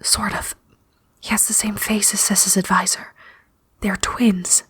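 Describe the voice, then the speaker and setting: A woman acting in an audio drama as a wry, weary prisoner, sardonic and often hushed. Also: mid-range voice, little pitch movement, a little slow, breathy edge, dry recording, in a whisper. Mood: urgent, hushed. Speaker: voice actor in a fiction podcast.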